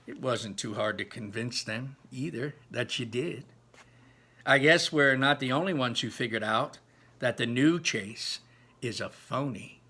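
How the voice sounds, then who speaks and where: A solemn, sly teenage boy, sidekick to a storybook bully, voiced by a man narrator. He is earnest and insistent, with a bright, tense tone. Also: medium pitch, a little slow, husky, exaggerated voice. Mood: smug.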